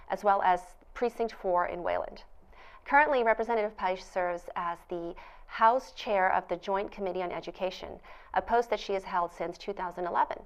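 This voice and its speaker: A woman's voice: mid-range voice, muffled recording. A woman hosting an interview show in a studio, speaking in an effusive, admiring way. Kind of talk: formal scripted introduction, then conversational interviewing.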